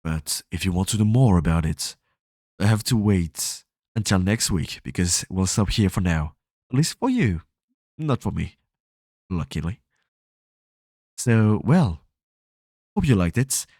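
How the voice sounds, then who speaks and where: Low-voiced man reading game dialogue. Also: darkish tone, no echo, studio quality. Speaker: streamer playing a game. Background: game music.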